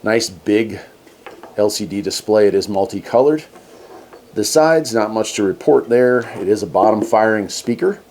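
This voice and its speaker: Low-voiced man casually reviewing gear. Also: normal speed, a little mellow, slight background noise. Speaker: host of a radio gear review channel. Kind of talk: casual hands-on product review.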